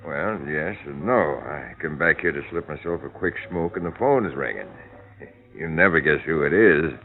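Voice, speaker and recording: An actor playing a shifty, evasive bartender with streetwise, slangy talk in an old radio crime drama. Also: male voice, very deep voice, expressive, moderate pace, soft background music. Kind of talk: 1940s-50s radio drama acting. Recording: muffled old radio recording.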